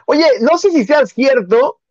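A man with a Mexican accent co-hosting a live stream, chatting casually in a jokey, halting, conversational way on a lo-fi mic. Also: very high voice, lively intonation, a little mellow, no echo. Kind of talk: casual chat.

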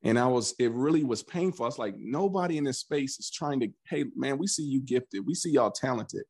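Man, podcast guest, earnest, mid-pitched, acts out quotes, restarts sentences. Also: fairly quick, husky. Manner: earnest, animated storyteller who voices his past self in quotes; frequent restarts and repeated words. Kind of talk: casual conversational interview.

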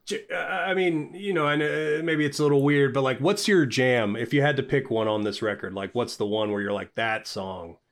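A man co-hosting a casual music podcast, speaking with excitement, swearing casually and saying "like" constantly. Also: medium pitch, moderate pace, few pauses. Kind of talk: casual chat.

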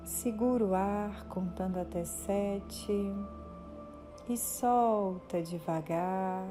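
A Brazilian woman leading a guided meditation in a soothing, instructive manner with deliberate pauses. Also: medium pitch. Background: music.

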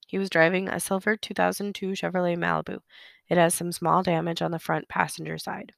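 A sick, hoarse woman hosting a solo true-crime podcast, chatty and apologetic, filling pauses with ums. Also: fairly low pitch, flat delivery, no room sound, studio quality. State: sick, hoarse, losing her voice. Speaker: podcast host. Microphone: close podcast microphone.

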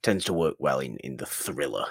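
A man's voice: very deep voice, sing-song, normal speed, gravelly, breathy edge, dry recording, clean studio sound. A man co-hosting a podcast, casually discussing films in an emphatic way, often repeating words and phrases for stress. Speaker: podcast co-host.

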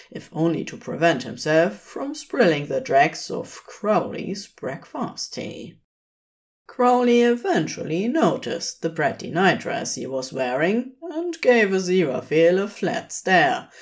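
A woman's voice: lowish voice, darkish tone. A woman narrating a scripted story expressively, as a fiction reader. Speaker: podfic reader.

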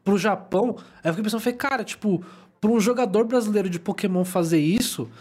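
A man hosting a casual podcast chat in a relaxed, chatty way. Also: high-pitched, quick pace, husky. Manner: relaxed, chatty, uses "tipo" and "sabe".

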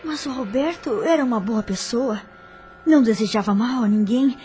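A woman's voice: on the high side, moderate pace, mellow, natural voice. An actress playing a troubled widow in a radio soap, speaking earnestly and respectfully as she seeks understanding. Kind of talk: radio soap opera acting. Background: music.

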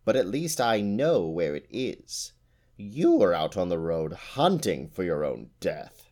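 A man's voice: sits low, big pitch swings, measured pace, darkish tone, full character voice. A man voicing an old, boastful and fierce female witch who talks with a sneer. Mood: gloating. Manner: sneering, snarling at times.

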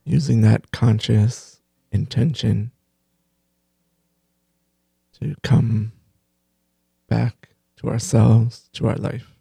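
Male meditation teacher: very soft dark tone, breathy, unhurried, gently pausing, close dry sound. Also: mid-range voice, little pitch movement, no echo. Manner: gentle, unhurried, pausing between short phrases. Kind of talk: guided meditation.

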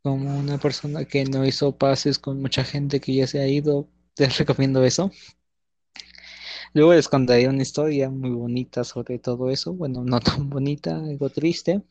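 A man's voice: medium pitch, little pitch movement, moderate pace, darkish tone, no echo, highs cut off. A young man, co-hosting a podcast and teasing his partner with frequent laughs. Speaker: podcast co-host. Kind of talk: casual chat.

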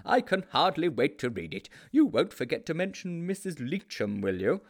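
A man's voice: on the high side, very animated, moderate pace, gravelly, voice pushed up, full character voice. A man voicing a jovial, beaming old headmaster with a hearty, genial manner. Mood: delighted.

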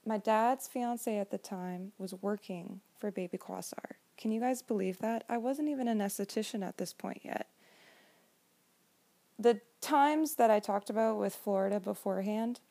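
Nasal young woman with a gravelly edge. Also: a little high, mellow. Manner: slow, drawn-out delivery. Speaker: esthetician podcast host. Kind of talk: casual solo podcast chat.

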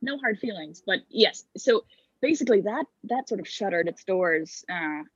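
A man's voice: high-pitched, animated, normal speed, slightly rough, dull audio. A young man whose first language is German, a guest comedian chatting casually and rambling playfully on a video call through earbuds. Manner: rambling, self-interrupting, playful. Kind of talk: casual podcast chat.

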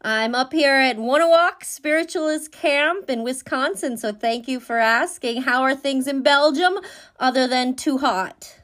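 Higher-pitched woman hosting an interview. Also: a little slow, fairly bright tone. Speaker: show host.

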